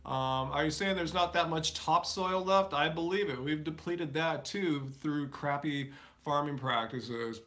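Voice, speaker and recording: A man with a bright, forceful tone and a slightly high pitch hosts a relaxed live Q&A stream. He answers viewer questions loosely, full of fillers and restarts. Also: unhurried, a little hiss or hum. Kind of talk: casual live Q&A chat. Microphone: live stream setup.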